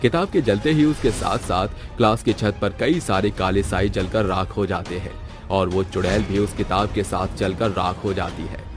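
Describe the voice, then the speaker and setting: A man's voice: low-pitched, moderate pace. A man reading scripted horror story narration as the narrator. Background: music.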